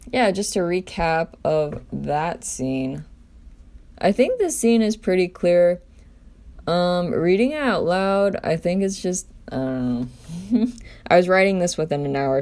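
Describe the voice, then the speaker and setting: A woman's voice: fairly low pitch, dark tone. A woman casually presenting her own writing, hesitant and self-deprecating. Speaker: writer presenting own scenes. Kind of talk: casual chat and script reading.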